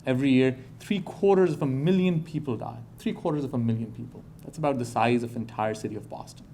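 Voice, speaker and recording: Earnest pakistani-born guy giving a talk in a hall, slow emphatic phrases, bit of ambient noise. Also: mid-range voice, dark tone. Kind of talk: public talk to a live audience. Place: lecture hall.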